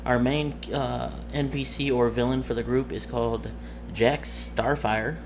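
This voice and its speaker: A man's voice: medium pitch, fairly even intonation, a little slow. Rambling man, noisy amateur recording. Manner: rambling, hesitant, searching for words. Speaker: tabletop game master. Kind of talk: casual off-the-cuff vlog talk.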